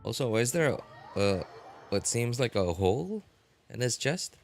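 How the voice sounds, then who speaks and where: A man's voice: mid-range voice, sing-song, moderate pace. A man doing casual gameplay commentary on a livestream, musing aloud and chatting informally to viewers. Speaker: game livestreamer. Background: game music.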